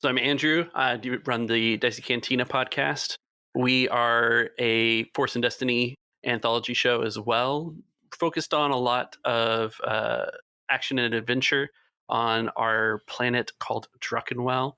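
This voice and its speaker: A man with a gravelly, bright voice introduces his podcast in a steady manner. His pitch sits in the middle and the sound is dry. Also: unhurried, no room sound. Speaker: podcast game master. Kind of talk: casual podcast roundtable.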